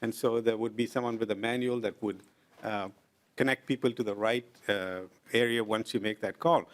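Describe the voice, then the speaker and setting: A man's voice: on the low side, steady tone. Middle-aged man giving earnest committee testimony. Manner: earnest and deliberate, with frequent "uh" pauses. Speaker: invited presenter at a legislative committee. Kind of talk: formal testimony, explaining with examples. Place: legislative committee hearing room.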